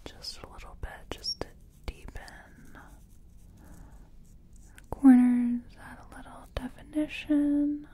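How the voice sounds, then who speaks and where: A woman playing a nervous makeup artist in an ASMR roleplay, speaking in a breathy whisper and murmuring hesitantly to herself as she works. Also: high voice, fairly even intonation, slow, long pauses, warm tone. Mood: focused, unsure. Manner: murmured, hesitant self-commentary while working. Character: nervous female makeup artist competing in a contest. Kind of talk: soft-spoken ASMR personal attention roleplay.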